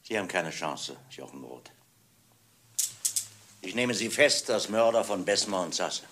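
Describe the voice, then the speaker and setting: A man playing a stern, methodical police inspector in a German radio crime drama, speaking emphatically and deliberately. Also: low voice, animated, a bit bright, a little hiss or hum. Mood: grim, resolute. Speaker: actor in a radio crime play.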